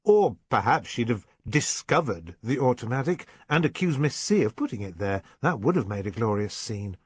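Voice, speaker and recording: A man voicing an anxious, intense young English gentleman in an audiobook novel, urgent and well-bred. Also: medium pitch, big pitch swings, moderate pace, slightly rough. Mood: urgent, certain.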